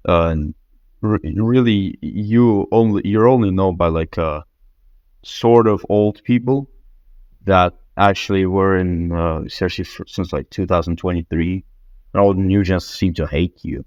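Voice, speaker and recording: A man asking informal interview questions in a hesitant, halting way, often stumbling over his phrasing. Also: sits low, a little mellow, dry recording, muffled recording.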